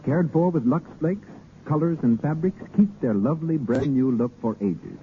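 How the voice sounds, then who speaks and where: A man's voice: on the high side, normal speed. A man announcing in the style of a 1930s radio commercial narration. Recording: noisy, tinny 1930s radio recording.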